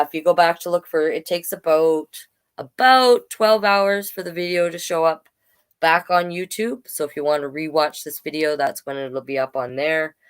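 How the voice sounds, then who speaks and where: A woman hosting a storytime, reading a picture book aloud with chatty, gently encouraging asides to listeners. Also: low voice, clear, resonant tone. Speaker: children's storytime reader and host.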